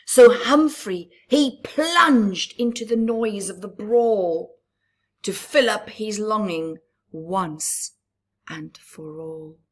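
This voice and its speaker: A woman reading a rhyming picture book aloud at story time, stopping to chat with young listeners and dropping into whispers. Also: medium pitch. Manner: slow rhyming read-aloud with chatty asides to young listeners; drops to breathy whispers. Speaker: storybook reader.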